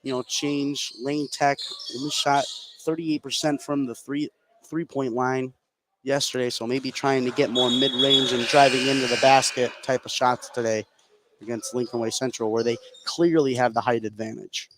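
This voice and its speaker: A man's voice: mid-range voice, level tone, normal speed. A man giving color commentary and analysis during a live basketball radio broadcast. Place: indoor basketball gym during a game. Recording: noisy gym ambience, bouncing basketballs. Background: loud gym ambience, basketball bounces.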